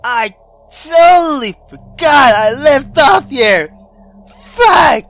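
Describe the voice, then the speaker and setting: Animated, loud, swearing male gamer. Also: very high-pitched, takes it slowly. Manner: loud, swearing, slangy, startled exclamations. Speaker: let's play host. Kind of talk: excitable casual video game reaction.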